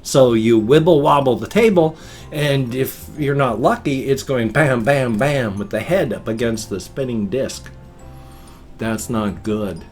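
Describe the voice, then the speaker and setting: A man's voice: mid-range voice, measured pace, a little mellow, soft background music. An older man, hosting a rambling, chatty morning vlog from his home desk, sounding nasal and congested with a slight wheeze. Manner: folksy, chatty, meandering. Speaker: vlog host. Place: small home room at a desk.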